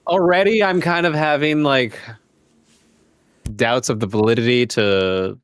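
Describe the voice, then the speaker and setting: A man's voice: mid-range voice, a little slow, smooth, no room sound, clean studio sound. A man co-hosting a podcast, teasing and sarcastic, swearing casually in banter. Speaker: podcast co-host.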